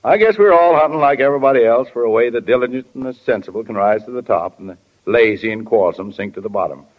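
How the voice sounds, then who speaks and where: An actor playing a wry, folksy small-town American man, slow to commit, in 1940s radio drama style. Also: mid-range voice, lightly acted. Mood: wry, unhurried. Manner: folksy, drawling, deliberate. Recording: dull, echoing 1940s radio recording.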